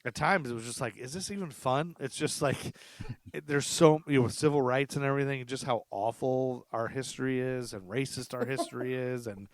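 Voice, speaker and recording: A man's voice: medium pitch, normal speed, no room sound. A man hosting a history podcast, chatting casually and thinking aloud with lots of fillers. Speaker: podcast host. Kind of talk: casual chat.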